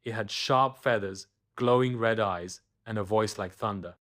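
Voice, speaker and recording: A man's voice: fairly low pitch, unhurried, a bit bright. A man reading a scripted children's bedtime story as the storyteller.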